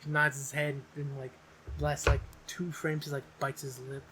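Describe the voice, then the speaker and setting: A man's voice: a little high, little pitch movement, moderate pace, fairly bright tone, slightly rough, low-quality recording. A man co-hosting a podcast, chatting casually and comically with insistent, profane riffing. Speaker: podcast co-host. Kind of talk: casual comedic banter.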